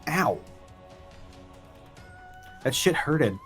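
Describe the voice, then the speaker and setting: A man's voice: a little high, big pitch swings, very slow, fairly bright tone. Casual male tabletop player over music. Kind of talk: casual game chat.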